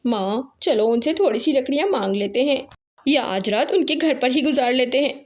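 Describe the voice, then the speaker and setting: Young girl cartoon bird acted by a woman: earnest, coaxing, high and lively. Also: normal speed. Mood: pleading. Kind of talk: Hindi cartoon character acting.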